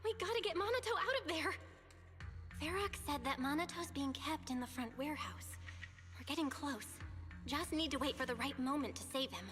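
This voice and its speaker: A woman's voice: high voice, animated, moderate pace, a bit bright. A woman voicing an earnest young heroine in a video game, conspiratorial and determined, over game music. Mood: urgent, determined. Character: earnest young heroine sneaking to rescue a friend.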